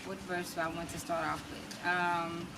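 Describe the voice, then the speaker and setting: Interview guest, female, American accent, small lowish voice, conversational, picked up from a distance. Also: completely flat delivery, moderate pace, vocal fry. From United States. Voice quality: small, soft-projecting voice. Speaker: interview guest, natural healer and spoken word artist. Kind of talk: casual interview talk. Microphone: camera mic at a distance, no wireless mic. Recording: distant mic, noisy ambient background.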